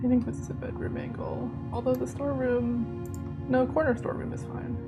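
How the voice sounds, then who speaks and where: A slightly hoarse woman chatting casually to her viewers while she plays a game, rambling and laughing as she goes. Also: high voice, flat delivery, fairly quick. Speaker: streamer. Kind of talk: casual live-stream chat while gaming. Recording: game music playing behind.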